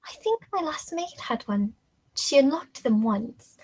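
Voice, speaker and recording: Actress as high, soft-voiced captive young woman. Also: very animated, unhurried, dry recording, exaggerated voice. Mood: curious. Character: gentle, sickly captive young woman in chains. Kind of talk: screenplay table read.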